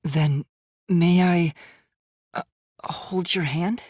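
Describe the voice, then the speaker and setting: A man's voice: high voice, fairly even intonation, extremely slow, darkish tone, slightly rough, dry recording, studio quality, heavily acted. A man voicing an earnest, polite young male robot student in a dramatic anime game, with formal, precise, emphatic diction. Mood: shy, tender. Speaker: video game voice actor.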